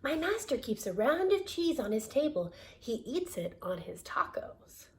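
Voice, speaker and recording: A woman voicing a small, clever female lamb in a picture book, pleading in a high bleat. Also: very animated, unhurried, slight background noise, full character voice. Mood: eager.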